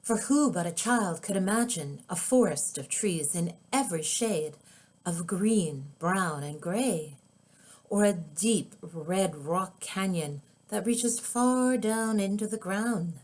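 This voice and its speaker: Woman, lowish voice, unhurried and drawn-out, expressive storybook reading for children. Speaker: author reading own children's book.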